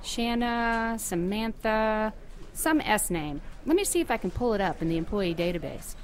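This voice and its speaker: A woman's voice: medium pitch, expressive, moderate pace, slight background noise, natural voice. A woman playing a chatty, flirtatious nurse in her fifties, in conversational audio drama dialogue. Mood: helpful, curious.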